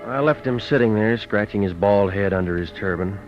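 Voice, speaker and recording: An actor playing a tough, wisecracking, cynical private eye, terse and deadpan, in an old-time radio detective drama. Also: male voice, fairly low pitch, brisk, loud music behind the voice, natural voice. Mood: wry narration. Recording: dull, noisy old radio recording.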